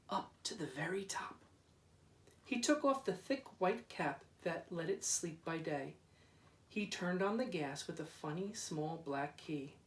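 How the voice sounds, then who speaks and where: A man gives an expressive read-aloud of a children's story. His pace is very unhurried and deliberate, with drama in the phrasing. The sound is echoey and picked up from some distance. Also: sits high. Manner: deliberate, dramatic, drawn-out repeated words. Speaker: school principal reading aloud. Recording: roomy echo, distant microphone.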